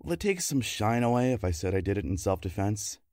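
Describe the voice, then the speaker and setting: A man playing a patient, affectionate partner with dry, gentle wit in a naturalistic comedy audio drama. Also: lowish voice, very animated, a little fast, no room sound. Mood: wry affection. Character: patient, affectionate, wry husband or boyfriend.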